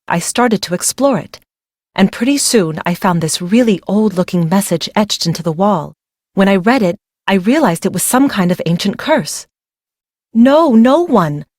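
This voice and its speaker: A woman acts out a troubled young bride in a mystery video game. She sounds brooding and dramatic, fearful yet short-tempered, in a middle-pitched voice with a very dry, studio-clean sound. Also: expressive, normal speed, darkish tone, no room sound, close to an everyday voice. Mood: agitated, fearful.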